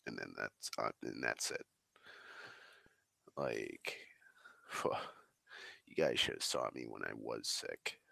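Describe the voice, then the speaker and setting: A very deep, gravelly male voice with a crisp, bright edge, rambling slowly and swearing freely. He sounds a little congested from the tail end of a flu, sighing between thoughts. The sound is close and dry on a desk microphone. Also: dry recording. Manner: swears a lot, drawling, slow and sighing. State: recovering from flu, slightly congested. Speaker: streamer. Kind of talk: rambling casual monologue.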